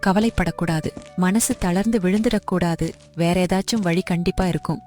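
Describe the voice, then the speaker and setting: A woman's voice: lowish voice, fairly even intonation, moderate pace, darkish tone, close to an everyday voice. Woman doing young weaver, earnest, caring, faint music. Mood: worried. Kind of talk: animated story voice acting.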